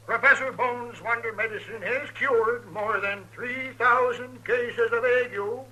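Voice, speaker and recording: High, bright, bombastic medicine-show huckster. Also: male voice, fairly even intonation, measured pace, breathy edge, highs cut off, exaggerated voice. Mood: grandiose. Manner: grandiose, oratorical sales pitch. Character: bombastic, smooth-talking medicine-show quack professor. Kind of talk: 1950s radio western acting. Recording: noisy old radio show recording.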